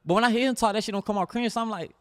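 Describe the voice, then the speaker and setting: Brief: young male rapper, light, slightly high voice, quick and loose, filler-heavy guest chatter. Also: no echo, clean studio sound. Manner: laid-back, rambling, heavy on fillers like "and stuff". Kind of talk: casual interview chat.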